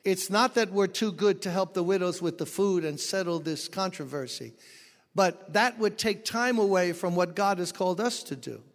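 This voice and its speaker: A man's voice: high voice, moderate pace, long reverb tail. A pastor preaching a sermon, explaining scripture and telling stories from his own ministry. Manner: expository preaching with personal stories.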